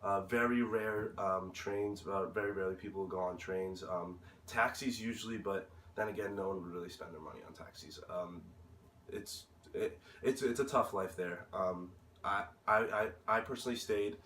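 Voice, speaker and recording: A young American man with a fairly low voice gives informal interview answers. He speaks hesitantly and searches for words. The recording is roomy and distant from the microphone, with faint noise. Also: steady tone, moderate pace, a bit bright. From United States.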